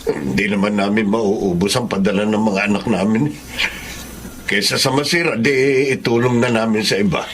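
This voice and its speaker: A man's voice: fairly low pitch, steady tone, moderate pace, lightly acted. An actor playing a kindly, generous older Filipino employer in a Tagalog radio drama, fatherly and concerned, with some background noise.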